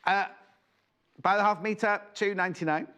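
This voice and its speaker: A man's voice: high voice, steady tone, normal speed, pushed and bright, clear, resonant tone. A middle-aged man presenting a live shopping show from a TV craft studio, chatty and jokey, rambling between banter and sales patter. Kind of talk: live sales demo chat.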